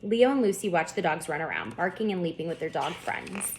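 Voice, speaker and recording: A woman author narrating a children's storybook in an expressive read-aloud manner. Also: lowish voice, a little fast, slight background noise. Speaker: author reading her book aloud.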